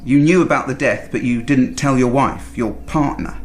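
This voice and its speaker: An actor playing a police detective in a naturalistic radio thriller, casually chatty but probing and quietly insinuating. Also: male voice, mid-range voice, normal speed, faint music behind, lightly acted. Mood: pointed, wry. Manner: casual but pointed, questioning.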